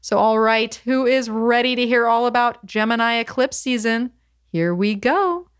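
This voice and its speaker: A woman's voice: a little high, normal speed, clean tone, no room sound, studio quality. A woman from California hosting a podcast interview, upbeat and chatty with some fillers. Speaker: podcast host.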